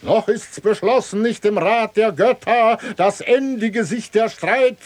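An actor playing a pompous, greedy old priest in a classical comedy radio play, declaiming with grand rhetoric. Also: male voice, high voice, a little slow. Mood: solemn, stern. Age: older.

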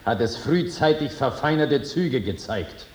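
An actor playing an earthy, sly rogue judge in a 1950s German radio drama, questioning bluntly in colloquial speech. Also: male voice, mid-range voice, expressive, unhurried, lightly acted. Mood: brusque, mocking.

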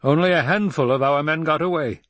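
Man acting an imperious, wrathful Emperor, pitched high. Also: a little fast, fairly bright tone, dry recording, close to an everyday voice. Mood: scornful, indignant. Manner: declamatory, biting, domineering. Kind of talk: dramatic audiobook character voice.

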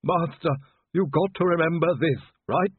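A man's voice: a little high, moderate pace, husky, no room sound, narrow, tinny audio, pitched above the performer's own voice. A man voicing a dying patchwork manservant in an audiobook, groaning and urgent, with colloquial, practical insistence. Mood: groaning, insistent.

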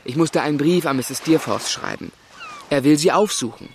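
Actor as concerned young gentleman, higher-pitched. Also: normal speed, faint music behind, natural voice. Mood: uneasy, concerned. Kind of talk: radio drama acting.

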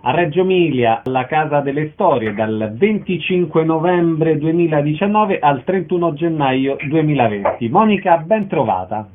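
Higher-pitched man hosting, phone-like sound. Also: expressive, normal speed, hardly any pauses, noisy background. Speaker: radio interviewer, host. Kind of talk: conversational radio interview. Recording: narrow phone-like radio sound.

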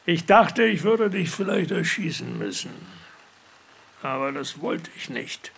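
Creaky, high-pitched sly old man. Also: big pitch swings, fairly bright tone, a little hiss or hum, full character voice. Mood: calm, knowing. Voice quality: gravelly, raspy. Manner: slow, deliberate, commanding. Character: frail, sly, dying old man, calm and bossy. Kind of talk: radio play acting.

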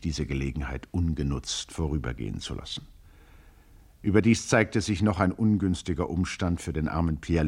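A male storyteller with an extremely deep voice and a husky grain to it. He narrates literary prose in formal diction, as in a radio drama. His delivery is rhetorical and eloquent, with an ironic edge. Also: a little mellow.

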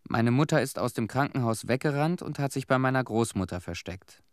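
An actor in a radio drama playing a somber young Chernobyl survivor who recalls childhood in measured, plain sentences. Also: male voice, medium pitch, lively intonation, no room sound, studio quality, natural voice. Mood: somber recollection.

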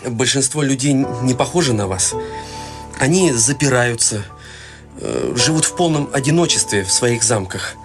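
A young man voicing a shy, withdrawn young man who speaks quietly and hesitantly. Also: medium pitch, very animated, moderate pace, over music. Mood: earnest. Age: young adult. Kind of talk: radio play acting.

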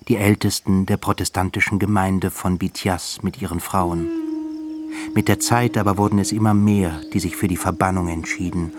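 A man acting as the grave narrator of a radio drama. His voice is low and he reads literary prose as a scripted account. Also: background noise, natural voice.

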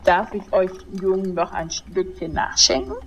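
A woman's voice: on the low side, fairly even intonation, normal speed, a bit bright, a little hiss or hum, close to an everyday voice. A woman playing a kindly, rambling old widow in a parody audio drama. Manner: polite, rambling, grandmotherly. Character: chatty, kindly old widowed lady hosting tea.

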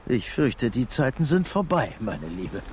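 A shady, ailing drifter in a crime radio play. He speaks at a middling pitch, by turns gruff and slickly ingratiating, over faint noise. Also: big pitch swings, unhurried. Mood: ingratiating, pleading. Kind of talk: German crime radio drama dialogue.

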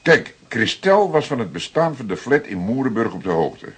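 An actor playing a seasoned older police detective in a Dutch radio drama, explaining things deliberately with dramatic pauses. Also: male voice, mid-range voice, big pitch swings, fairly bright tone, breathy edge, heavily acted. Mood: patient, explaining. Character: seasoned older male police detective, calm, plain-spoken storyteller.

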